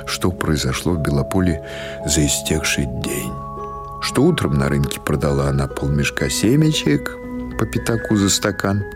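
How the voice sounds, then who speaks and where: A man reading literary prose as an audiobook narrator, in a measured, expressive storytelling manner. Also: deep voice.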